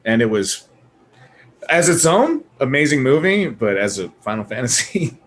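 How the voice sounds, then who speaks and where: A man co-hosting a casual panel chat about movies, animated and swearing freely, acting out studio voices. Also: medium pitch, moderate pace. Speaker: podcast co-host.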